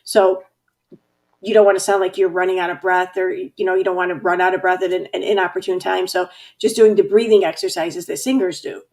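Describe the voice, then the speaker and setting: A woman hosting a casual livestream chat, talkative and quick to laugh, reading out viewer comments. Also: lowish voice, flat delivery, a little mellow. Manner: chatty, laughs often, reads out viewer comments. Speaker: host.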